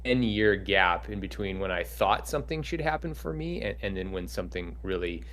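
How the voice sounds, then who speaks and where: A man's voice: deep voice, animated, normal speed, fairly bright tone. A man, a film director and animator, giving earnest, encouraging, rambling answers on a Q&A panel.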